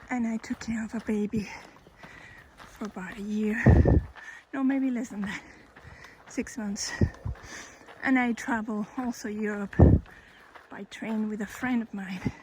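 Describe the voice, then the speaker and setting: Slow-spoken Colombian woman outdoors. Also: fairly high pitch. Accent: Colombian Spanish. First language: Spanish. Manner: slow, thoughtful, with pauses and self-corrections. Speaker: hiker and vlogger. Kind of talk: personal life story, casual. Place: outdoors on a trail. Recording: outdoor recording with background noise.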